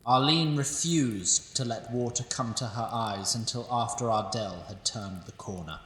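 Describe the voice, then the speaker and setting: A man giving scripted narration of a fantasy audio drama with an expressive storytelling delivery. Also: medium pitch, reverberant, recorded from a distance.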